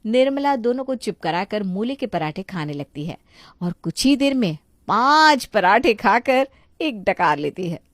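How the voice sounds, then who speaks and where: A woman's voice: medium pitch, sing-song, measured pace, no room sound, clean studio sound. A woman reading scripted narration for a moral story as its narrator.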